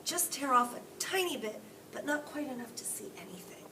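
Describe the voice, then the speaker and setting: A woman's voice: high-pitched, expressive, normal speed, distant mic, noisy background, voice pushed up. A woman voicing an earnest, eager young boy in a storybook, polite and a little hesitant.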